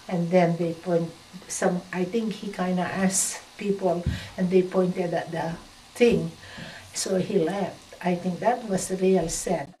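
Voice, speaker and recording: An older woman tells a remembered story plainly and softly. Her voice sits somewhat low. She is picked up from a distance in a home setting. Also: steady tone, takes it slowly, slight background noise. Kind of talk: interview recollection. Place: living room indoors.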